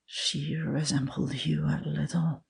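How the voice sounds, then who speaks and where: A man playing an ardent, lovesick young man, earnest and melancholy, with a husky voice and a yearning, confessional delivery in a literary dramatic reading. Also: on the high side, flat delivery, takes it slowly, full character voice.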